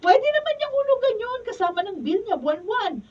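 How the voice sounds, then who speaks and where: Stubborn housewife, female, Filipino accent, high-pitched, radio drama, distant muffled recording. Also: sing-song, fairly quick, darkish tone. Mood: firm, defiant. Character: principled, stubborn housewife standing up for the law.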